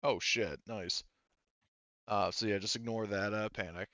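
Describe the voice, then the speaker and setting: A man casually running a tabletop roleplaying game as game master, halting and thinking aloud as he goes. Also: fairly low pitch, steady tone, dull audio, very noisy.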